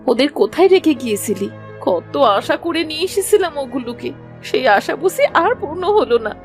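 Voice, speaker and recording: Casting: woman as homely, practical cartoon mother, animated delivery, light music bed. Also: high voice, brisk. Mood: concerned. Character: practical village wife and mother, concerned. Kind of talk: cartoon story voice acting.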